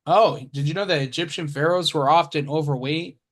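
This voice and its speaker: Man, game show host, slightly high voice, playful, video call audio. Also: brisk, a bit bright. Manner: playful, trailing off and restarting. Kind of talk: casual hosting of an online game.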